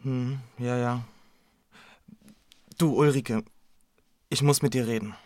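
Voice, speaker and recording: A man plays a serious partner who speaks hesitantly and very slowly. His voice sounds dry and close. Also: mid-range voice, fairly even intonation, darkish tone, dry recording, lightly acted. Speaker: partner of the detective.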